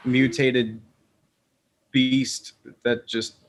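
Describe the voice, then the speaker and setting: A man's voice: mid-range voice, flat delivery, extremely slow. A man co-hosting a casual gaming podcast, rambling with hesitant restarts. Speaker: podcast co-host. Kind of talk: casual chat.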